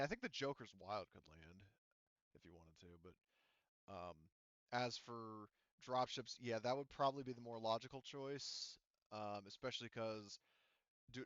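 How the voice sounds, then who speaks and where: A man acting as game master in a casual, unscripted tabletop session, thinking aloud in a halting, casually profane way. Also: fairly low pitch, clear, resonant tone, no room sound, highs cut off.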